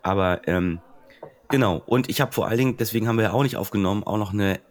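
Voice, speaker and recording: Brief: very low-pitched male co-host, a little hoarse, hesitant and full of fillers. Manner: halting, many fillers and restarts. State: slightly hoarse from a cold. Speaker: podcast co-host. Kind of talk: casual chat.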